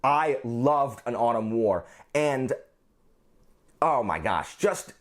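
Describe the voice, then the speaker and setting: A man's voice: medium pitch, expressive, takes it slowly. A man talking casually as a book vlogger, gushing and emphatic, often repeating phrases to drive a point home. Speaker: book reviewer and channel host. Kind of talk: casual chatty book vlog.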